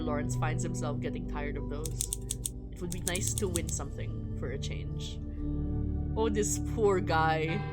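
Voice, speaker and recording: A woman streamer chatting casually and reading aloud from a visual novel, talking in a chatty, hesitant way with pauses. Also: on the low side.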